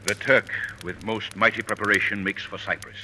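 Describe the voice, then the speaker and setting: An actor playing a courtly head of state with pompous, formal oratory in old radio Shakespeare style. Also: male voice, lowish voice, steady tone, normal speed, pushed and bright. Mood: commanding. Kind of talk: 1940s radio Shakespeare acting. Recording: faintly noisy old radio broadcast.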